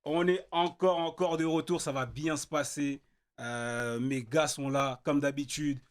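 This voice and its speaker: A male host runs a relaxed interview with an upbeat, slangy delivery. He laughs easily and keeps things loose. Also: on the high side, unhurried. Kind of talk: casual interview chat.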